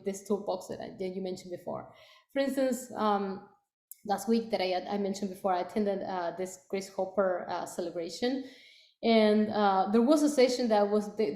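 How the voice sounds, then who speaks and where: A Spanish-accented woman, a native Spanish speaker, talks through a panel answer with many "um"s and restarts. Her voice sits at a middle pitch. The sound rings in a roomy, echoey space. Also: warm tone. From Latin America. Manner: hesitant, frequent fillers and restarts. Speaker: product leader. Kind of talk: panel conversation. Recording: echoey room.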